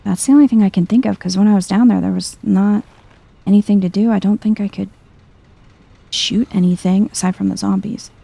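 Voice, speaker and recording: A woman giving soft-spoken, chill ASMR commentary over a video game playthrough, thinking out loud in a low-key way. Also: steady tone, fairly quick, gravelly, slightly rough, no echo.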